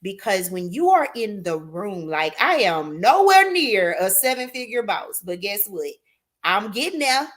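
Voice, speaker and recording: Slow-talking hype host addressing "y'all". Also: female voice, mid-range voice, sing-song. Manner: hypes the audience with "y'all" and "queen". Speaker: talk show host. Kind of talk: upbeat live show hosting.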